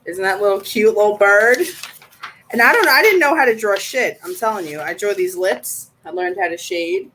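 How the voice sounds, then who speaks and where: A woman's voice: mid-range voice, expressive, moderate pace, fairly bright tone, slight background noise, rough recording. A woman chatting casually in a blunt, informal, slangy way. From New York, United States. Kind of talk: casual chat.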